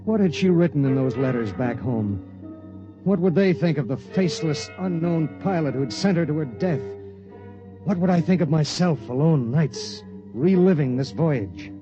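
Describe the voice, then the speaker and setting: An actor playing a lonely, guilt-ridden spaceship pilot, brooding and terse, in a 1950s radio drama. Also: male voice, on the high side, lively intonation, normal speed, music in the background, lightly acted. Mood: brooding, grave. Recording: muffled vintage radio broadcast.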